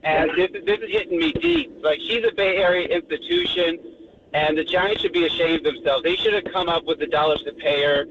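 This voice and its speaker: Emotional caller on a dull line. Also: male voice, sits high, expressive, a little fast, vocal fry, background noise. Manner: emotional, heartfelt. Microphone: phone.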